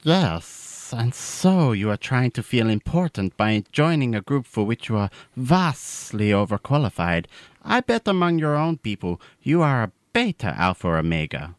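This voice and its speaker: A man's voice: mid-range voice, big pitch swings, unhurried, no room sound, studio quality. Man as an officious, swooping pet snake. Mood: sceptical. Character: officious pet snake leading a club meeting. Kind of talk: comedy audio drama.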